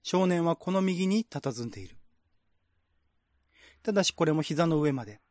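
A man's voice: fairly high pitch, lively intonation, moderate pace, darkish tone, no echo, clean studio sound. A man reading scripted narration in a radio-drama style, as the narrator of a screenplay.

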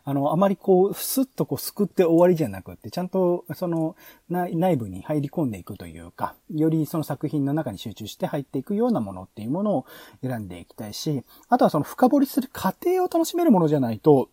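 A male podcast host talks through plans casually. His voice is mellow and a little husky, and the pitch moves around a lot. He hesitates often, drawing out filler vowels and rephrasing mid-thought. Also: big pitch swings, no echo. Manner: halting, with drawn-out filler vowels and self-corrections. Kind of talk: casual planning talk, explaining proposals.

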